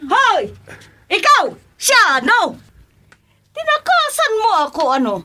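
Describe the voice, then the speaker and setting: An actress playing a jealous wife who scolds shrilly in a comedy radio drama. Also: female voice, extremely high pitch, sing-song, takes it slowly, noisy background, heavily acted. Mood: furious.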